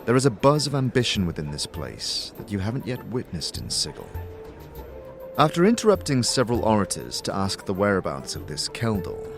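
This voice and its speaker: Male narrator for fantasy audio drama, low and expressive, faint music underneath. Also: brisk. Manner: dramatic storytelling. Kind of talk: scripted second-person fantasy narration.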